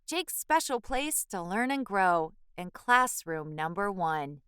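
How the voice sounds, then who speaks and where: A woman reading a children's picture book aloud in a measured, expressive storytelling manner. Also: medium pitch, clear, resonant tone, dry recording, clean studio sound. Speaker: children's book reader and channel host.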